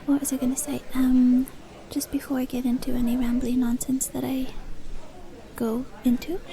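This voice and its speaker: A woman's voice: high voice, completely flat delivery, moderate pace, warm tone, low-quality recording. A woman from Dublin, chatting in a rambling, self-deprecating ASMR style, often dropping into a whisper. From Dublin, Ireland. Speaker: ASMR video creator. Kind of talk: ASMR, rambly casual chat.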